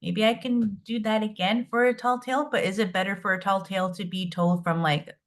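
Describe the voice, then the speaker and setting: A woman's voice: mid-range voice, fairly even intonation, a little fast. A woman attendee chatting casually on a video call, phrasing her questions in a tentative, chatty way. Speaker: workshop attendee asking a question.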